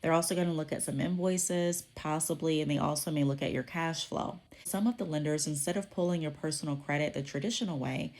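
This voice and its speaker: A woman's voice: deep voice, a little fast, hardly any pauses, darkish tone. A woman hosting her own podcast, explaining business topics in a conversational way while congested from a cold, often checking in with "okay?". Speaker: podcast host.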